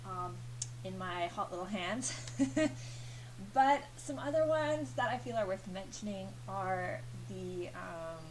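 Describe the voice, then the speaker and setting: Chatty lady talking to her camera, lots of ums, mid pitch, hum behind her. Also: expressive, takes it slowly. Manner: chatty, many fillers and small laughs. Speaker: vlogger. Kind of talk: casual chat to camera.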